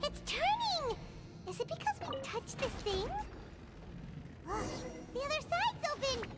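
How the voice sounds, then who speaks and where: Casting: a very high, squeaky little game fairy, perky and blunt, wildly swooping, loud music behind. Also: female voice, very animated, a little slow, full character voice. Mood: excited. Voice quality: squeaky, childlike. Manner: exclamatory, perky. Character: tiny excitable female fairy companion, childlike and blunt. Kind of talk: cartoonish video game voice acting.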